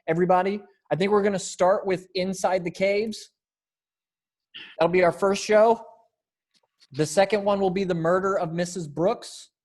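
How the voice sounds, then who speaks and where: A man's voice: a little high, darkish tone. A man directing a readers theater group, talking informally and encouragingly as he organizes rehearsals on a video call.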